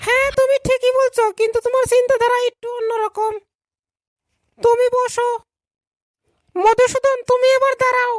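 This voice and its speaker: A woman's voice: very high voice, level tone, normal speed, pauses often, dry recording, clean studio sound. A woman voicing a polite young female schoolteacher in a comedy cartoon, instructing her pupils. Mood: teacherly, questioning. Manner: instructing, addresses pupils in turn.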